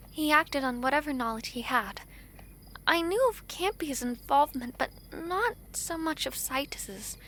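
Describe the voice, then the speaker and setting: Girl acting as a sweet gracious fantasy princess, high voice, wistful n courtly, bit of cricket noise behind. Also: fairly bright tone, rough recording. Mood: curious. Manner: formal, courtly, tender phrasing. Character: gentle, affectionate foreign princess, gracious and wistful. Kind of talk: romantic fantasy audio roleplay acting.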